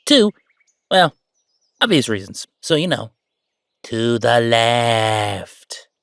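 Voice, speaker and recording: Casting: male actor for playful, bossy male ghost roommate, sentimental underneath, very lively pitch, dry close sound, audio roleplay. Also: gravelly, no room sound, exaggerated voice. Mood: mock offended, bossy. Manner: animated, teasing, banter with pauses and repeats. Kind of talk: roleplay audio drama monologue, conversational.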